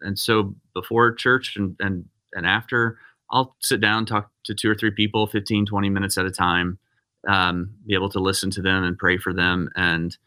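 A man's voice: deep voice, flat delivery, moderate pace, sharp tone. A middle-aged man, a pastor, talking casually and rambling with many restarts. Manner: rambling, self-interrupting, frequent restarts. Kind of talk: casual podcast conversation.

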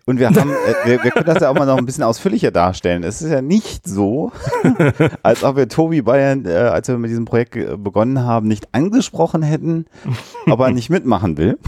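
Lively male co-host. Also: medium pitch, fairly quick, no room sound. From Hamburg area. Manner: lively, joking storyteller. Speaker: podcast co-host. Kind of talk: casual podcast chat.

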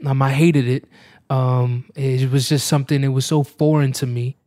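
A man's voice: medium pitch, flat delivery, moderate pace, warm tone. A young man from New York, an actor talking reflectively in an interview. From Washington Heights, New York. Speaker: stage actor.

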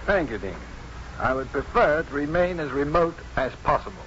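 Proud, dryly defiant doctor, old radio. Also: male voice, fairly high pitch, expressive, moderate pace, a bit bright. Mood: coolly aloof. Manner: formal, precise, dryly sardonic. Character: proud unconventional male doctor, coolly defiant and dryly witty. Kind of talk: 1950s radio drama acting. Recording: dull noisy 1950s radio recording.

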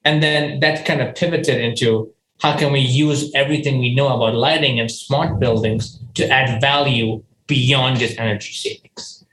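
A man's voice: mid-range voice, fairly even intonation, normal speed, hardly any pauses, a bit bright, reverberant, a little hiss or hum, poor audio. A man from Ghana, a company founder, answering interview questions earnestly, often restarting his sentences and saying "you know".

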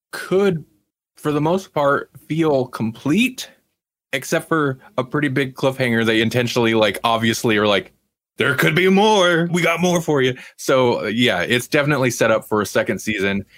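A man's voice: mid-range voice, animated, unhurried, fairly bright tone, no echo. A man hosting a casual podcast about television, talking in a conversational way with rambling explanations. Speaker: podcast host. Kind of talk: casual TV review chat.

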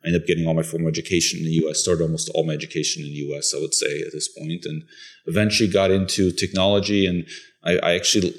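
Deep-voiced man telling his story. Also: little pitch movement, rapid-fire. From Bulgaria. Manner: conversational storyteller with restarts. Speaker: podcast co-host. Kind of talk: casual podcast chat.

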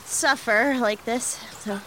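Bright, high-pitched, stoically suffering crew member. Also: female voice, slow pace, a little hiss or hum. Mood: pained, stoic. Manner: brave understatement through pain. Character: stoic, self-sacrificing female crew member, gruesomely injured. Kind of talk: improvised dark comedy sci-fi audio drama.

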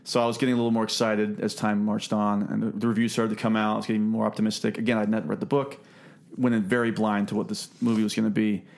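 A man hosting a casual podcast review, talking haltingly and repeating words as he thinks. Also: on the low side, level tone, gravelly, a little mellow. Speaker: podcast host. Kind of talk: casual film review discussion.

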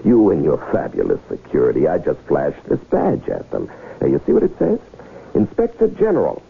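A gravelly silver-tongued con man. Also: a bass voice, huge pitch swings, normal speed, a little hiss or hum, full character voice. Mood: persuasive. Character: smooth-talking male con man, theatrical, persuasive. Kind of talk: 1970s radio drama acting. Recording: tinny old radio broadcast.

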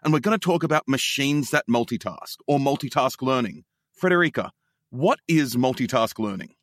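A man's voice: mid-range voice, animated, normal speed, no echo, studio quality. A man hosting a data science podcast, introducing a guest and asking her short questions. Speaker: podcast host. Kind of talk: scripted podcast segment.

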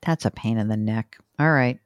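A woman's voice: a bass voice, normal speed, no room sound. Chatty woman podcast host, dry close sound. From New York. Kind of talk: casual chat, audience address.

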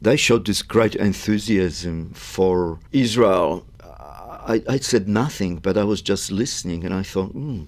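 Older man, deep pitch. Thoughtful, halting recollection as a panel guest. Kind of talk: personal recollection in conversation.

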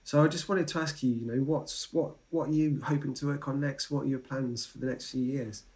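A man's voice: medium pitch, fairly even intonation, fairly quick, a little mellow. A man hosting a podcast who asks his guest loose, rambling questions full of fillers. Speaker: podcast interviewer. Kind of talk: casual interview questions.

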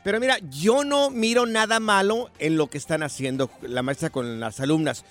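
A Mexican man co-hosting a radio show, chatting in a playful, animated way. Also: fairly high pitch, moderate pace, faint music behind. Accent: Mexican Spanish. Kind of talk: casual radio banter.

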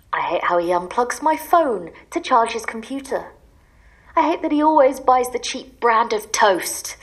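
A woman's voice: fairly high pitch, poor audio. An actress playing a desperate, exasperated wife who is sick of her husband, in an audio drama. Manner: ranting in long bitter lists, sometimes dropping to a secretive whisper.

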